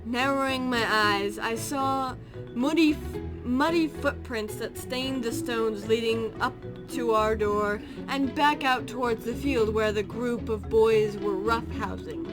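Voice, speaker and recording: Girl reading a story out loud, highish voice, slow, stumbles and fixes words, music under it. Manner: halting, corrects misread words. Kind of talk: amateur read-aloud story narration.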